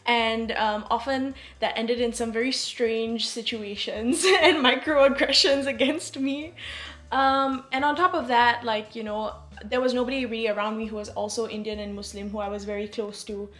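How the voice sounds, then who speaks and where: A woman's voice: on the high side, fairly even intonation, normal speed, fairly bright tone. A woman in her twenties from Singapore, thoughtfully talking about her own experiences as a podcast guest. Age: 20s. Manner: reflective, with fillers like "you know". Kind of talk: casual personal account.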